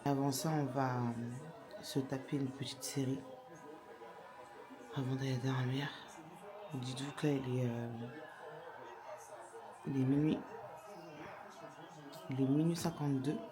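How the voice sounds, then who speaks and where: A young woman chatting casually in a vlog, with a stammer that makes her repeat and restart words in a halting way. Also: a bass voice, steady tone, music in the background. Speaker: lifestyle vlogger, mother of young children. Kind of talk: casual chat to camera.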